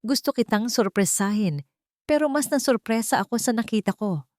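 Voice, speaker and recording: A woman's voice: on the high side, lively intonation, normal speed, darkish tone, dry recording, clean studio sound, voice pushed up, close to an everyday voice. A woman voicing a betrayed young woman in an audio drama, hard and bitter with restrained anger toward her fiancé. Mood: cold, accusing.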